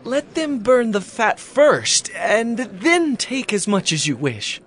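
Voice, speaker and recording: A man's voice: high-pitched, big pitch swings, slow pace, fairly bright tone, exaggerated voice. A male actor playing a pious worshipper at a sacrifice in a dramatized scripture reading, protesting and imploring. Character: pleading, pious man bringing a sacrifice.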